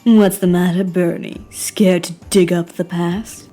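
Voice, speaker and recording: A woman's voice: fairly low pitch, steady tone, a little slow, warm tone, faint music behind, close to an everyday voice. A woman voicing a cruel, goading, sinister young man in an amateur game. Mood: taunting.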